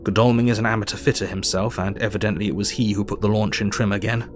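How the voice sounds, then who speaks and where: An actor playing a sober Victorian doctor, reading his diary in a measured, formal way for an audio drama. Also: lowish voice, steady tone, over music, lightly acted.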